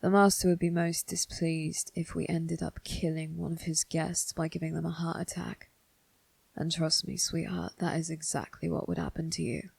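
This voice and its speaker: A sly demon servant, played by a woman, speaks with a gravelly, husky voice in a very dry, close recording. The delivery is teasing and menacing, slangy and informal, made for an ASMR roleplay. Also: sits low, little pitch movement, normal speed, a little mellow, no room sound, exaggerated voice.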